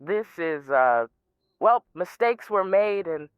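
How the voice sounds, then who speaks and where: A man's voice: high voice, expressive, slow pace, clear, resonant tone, no echo. A man playing a nervous, apologetic kid who hesitates and stammers in an audio drama, with a thin phone-like sound. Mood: sheepish.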